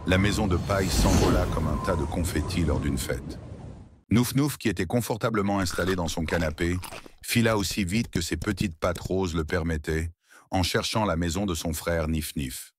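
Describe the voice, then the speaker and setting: A man narrating a scripted children's story with expressive, playful storybook delivery. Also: deep voice, normal speed, background noise.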